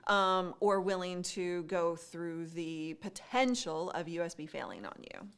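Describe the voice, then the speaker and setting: A woman's voice: on the low side, animated, unhurried, smooth. Mid-range female co-host, chatty and questioning, casual delivery. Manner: conversational, asks questions, many fillers. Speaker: streaming show co-host. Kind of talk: casual tech discussion.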